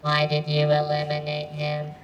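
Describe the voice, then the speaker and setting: Deadpan low-voiced woman. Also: normal speed, dull audio, over loud music. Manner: flat, deadpan questions. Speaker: off-camera show host.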